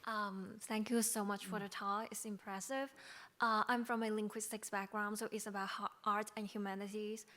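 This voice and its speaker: A woman's voice: mid-range voice, flat delivery, moderate pace, fairly bright tone. A woman from Macau, a native Cantonese speaker with a Cantonese accent, asking a question from the floor as a researcher.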